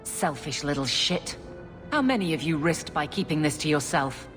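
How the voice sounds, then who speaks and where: An actress in a video game playing a blunt, no-nonsense guard captain, curt and exasperated. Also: female voice, low voice, expressive, normal speed, over music. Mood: scornful.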